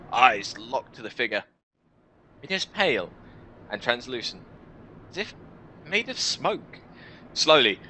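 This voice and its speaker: A man hosting a gaming video, reading a visual novel aloud in an expressive, theatrical way with casual asides. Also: mid-range voice, a little hiss or hum.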